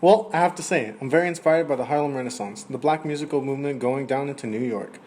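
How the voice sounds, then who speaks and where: A man's voice: medium pitch, fast, a little mellow, close to an everyday voice. A man playing a polite, enthusiastic aspiring musician in a 1920s radio interview. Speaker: musician guest. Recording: noisy amateur recording.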